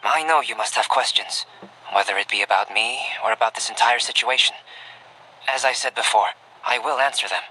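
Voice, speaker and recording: An actor playing a cool, reserved young alchemist in a video game, speaking in a measured, formal and precise manner. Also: male voice, medium pitch, bright, forceful tone, a little hiss or hum, poor audio. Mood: frank, measured. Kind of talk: video game cutscene acting. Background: game music.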